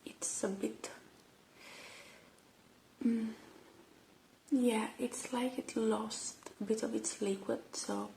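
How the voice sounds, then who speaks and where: A woman doing a soft ASMR roleplay, murmuring and whispering step by step in a hushed, hesitant way. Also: a little high, fairly even intonation, slow, creaky, long pauses, reverberant, a little hiss or hum, poor audio. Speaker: ASMR roleplay creator playing a robot repair technician.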